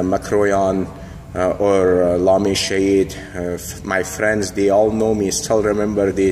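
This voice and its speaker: A man's voice: fairly low pitch, darkish tone. A man from Afghanistan, a boxing coach, telling his life story to a phone camera in a large indoor gym, hesitant with many fillers and phrasing. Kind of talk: casual personal storytelling to camera. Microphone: phone held in mirror selfie. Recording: long gym reverb, faint background hum.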